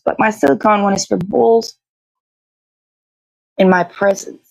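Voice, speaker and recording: A woman's voice: medium pitch, vocal fry, no echo, rough recording. Dry, close-sounding woman, rambling casual chat. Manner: meandering, searching for words, casually profane. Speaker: live show host.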